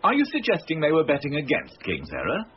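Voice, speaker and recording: An actor playing a shrewd police inspector in a crime drama, polite but probing and determined. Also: male voice, a little high, wildly swooping pitch, quick pace. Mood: curious. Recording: dull lo-fi audio with background noise.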